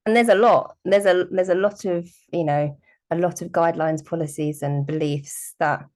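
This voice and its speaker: A woman's voice: on the low side, steady tone, normal speed, darkish tone. A woman hosting a podcast, chatting enthusiastically with her guest. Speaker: podcast host. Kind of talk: conversational interview.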